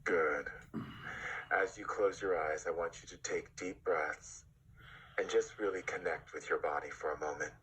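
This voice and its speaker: A man guides a meditation in a low voice at a very slow pace. He gives breathy, hypnotic instructions and leaves long pauses between them. The tone has a bright, forceful edge. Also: steady tone, low-quality recording.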